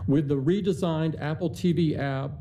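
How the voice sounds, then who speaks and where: An older man walks through quarterly figures in a steady, measured corporate tone. His voice is mellow and mid-pitched, and a faint hiss sits under the line. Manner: measured, upbeat corporate delivery with some hesitations. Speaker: company chief executive on an earnings call. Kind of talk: scripted earnings remarks, then unscripted answers.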